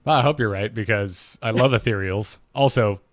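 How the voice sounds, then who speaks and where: A man's voice: lowish voice, moderate pace, no room sound. A man co-hosting a podcast in casual, conversational chat. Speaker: podcast co-host. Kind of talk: casual chat about game lore.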